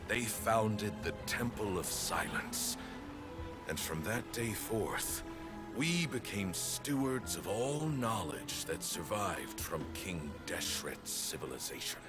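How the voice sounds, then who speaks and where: An old solemn priest in a video game, really deep n slow, ceremonial, music playing under it. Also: male voice. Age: older. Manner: grave, measured, ceremonial. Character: solemn old male priest of an ancient desert temple. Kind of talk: dramatic scripted storytelling in a video game.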